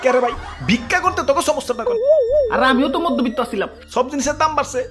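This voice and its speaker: Woman voicing a cocky, very high-pitched, rapid-fire Bangladeshi beggar. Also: lively intonation, over loud music. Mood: proud, ranting. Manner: ranting, streetwise slang. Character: cocky, proud young beggar, brash and argumentative. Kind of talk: comic cartoon acting.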